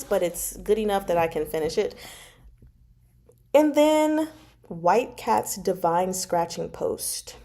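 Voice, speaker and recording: A woman chatting casually as a livestream host, informal and expressive, drawing out words for emphasis as she answers viewers. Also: lowish voice. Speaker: host of a book club livestream. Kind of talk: casual chat, reading and answering viewer comments.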